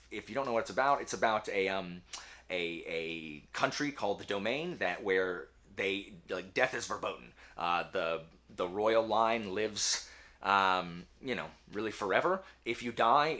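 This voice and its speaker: A man talking casually to camera as a book channel host, emphatic and animated, with a self-deprecating way of speaking. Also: fairly low pitch. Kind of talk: casual talk to camera, answering book tag questions.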